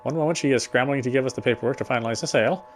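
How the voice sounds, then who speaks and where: A man's voice: mid-range voice, racing. A man reading first-person story narration aloud for a visual novel game. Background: faint music.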